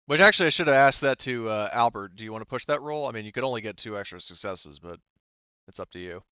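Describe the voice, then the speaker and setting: A man's voice: lowish voice, lively intonation, fast talker, bright, forceful tone, smooth, no echo, dull audio. A man working as a tabletop game master, explaining rules and narrating combat in rambling, broken-off phrases peppered with filler words. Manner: rambling, talks in broken-off phrases with frequent 'like' and 'you know'.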